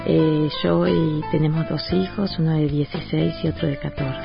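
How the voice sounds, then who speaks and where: Uruguayan woman guest, quiet and hesitant, low gravelly husky voice, muffled. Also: steady tone, normal speed. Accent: Uruguayan Spanish. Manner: soft-spoken, hesitant, with many restarts. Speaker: interview guest. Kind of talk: radio interview. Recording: muffled, dry sound with faint music.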